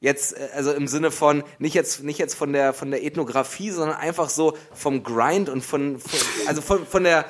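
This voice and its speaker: Male podcast host, casual chat, stammers and restarts, voice a bit high. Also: moderate pace, few pauses. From Berlin.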